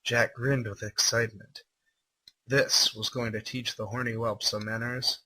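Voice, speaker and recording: A man's voice: medium pitch, little pitch movement, a little slow, bright, forceful tone, a little hiss or hum, poor audio. A man reading a story aloud as a livestream host, mixing dramatic narration with irreverent, incredulous commentary. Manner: irreverent, incredulous, swearing asides.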